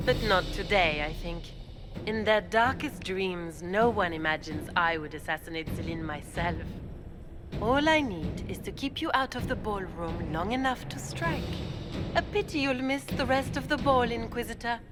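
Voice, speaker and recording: A woman's voice: mid-range voice, normal speed, natural voice. Villainess, female woman actor: smug, condescending noble schemer, game cutscene, strong music bed. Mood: cold. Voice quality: silky, condescending. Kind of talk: video game cutscene dialogue. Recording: music playing behind.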